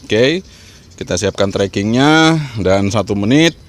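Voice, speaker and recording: A man's voice: on the low side, lively intonation, unhurried. A man giving an informal instructional vlog talk, encouraging and repeating his points as he explains. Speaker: amateur radio vlogger and trainer.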